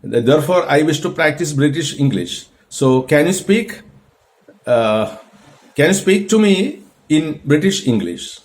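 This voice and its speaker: A man from Kerala, India, with a Malayalam-influenced Indian accent, teaching English in an earnest, deliberate way and often repeating himself. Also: a little high, expressive, darkish tone. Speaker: English tutor and group organizer. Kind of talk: spoken English lesson with an AI chatbot.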